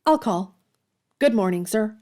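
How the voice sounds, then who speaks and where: A woman's voice: mid-range voice, expressive, a little slow, warm tone, natural voice. An actress playing a proud, earnest young woman writer in a period audio drama, speaking formally and politely. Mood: polite, guarded.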